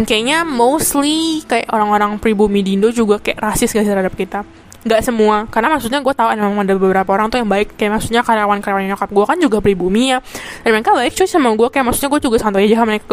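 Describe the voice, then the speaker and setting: A woman's voice: on the high side, a little hiss or hum. A young woman in her twenties from Jakarta with a Jakarta accent, hosting a casual solo podcast. She chats in slang, fills her talk with asides and fillers, and drops in English words. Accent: Jakarta Indonesian. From Jakarta, Indonesia. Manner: chatty slang-heavy, mixes in English words. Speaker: podcast host.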